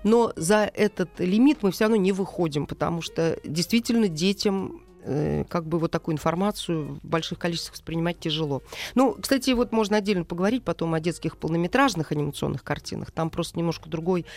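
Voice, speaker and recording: A woman speaking as a film director in an interview, explaining things in an animated way with everyday examples. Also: fairly low pitch, a little fast, few pauses.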